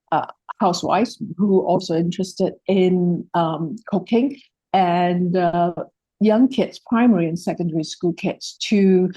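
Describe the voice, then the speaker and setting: A woman's voice: on the low side, dark tone, no room sound, rough recording. A woman with a Hong Kong accent, a native Cantonese speaker, presenting a project in a hesitant way, with many fillers and restarts. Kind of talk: presentation talk.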